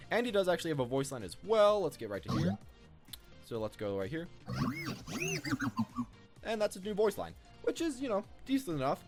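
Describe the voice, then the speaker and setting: Fast, animated young-sounding male game commentator. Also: a little high. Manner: rambling, informal. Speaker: gaming video host. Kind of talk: casual unscripted video commentary on game cosmetics.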